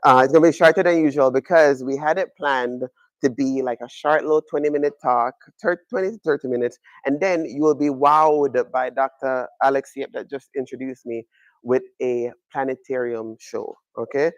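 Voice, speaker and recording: A man's voice: medium pitch, steady tone, moderate pace, no room sound, highs cut off. A man in his thirties with a Jamaican accent, an astronomy professor giving an upbeat, chatty public lecture in an observatory room. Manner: upbeat, chatty, joking with the audience.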